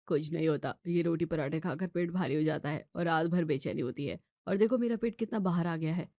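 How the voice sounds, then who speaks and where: A woman reads the part of a calm husband in a domestic storybook tale. He lectures and brushes off objections in an even, preachy manner. The sound is dry. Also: low-pitched, brisk, no echo. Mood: preachy, dismissive. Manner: dismissive, advising. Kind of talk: acted Hindi family story dialogue.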